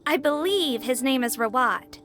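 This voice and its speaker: A high, animated voice plays a prim little investigator in a video game. She explains carefully and helpfully, with a cutesy touch and a lilting melody. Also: moderate pace, faint music behind. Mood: helpful, matter-of-fact. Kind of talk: scripted video game voice acting.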